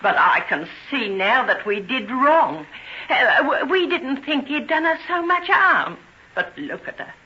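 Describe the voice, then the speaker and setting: A woman's voice: high voice, sing-song, unhurried, sharp tone, heavily acted. An actress playing a humble working-class mother in an old-time radio drama, deferential, garrulous and pleading, with folksy turns of phrase. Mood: distressed. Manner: deferential, tearful, folksy wording. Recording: dull vintage radio recording.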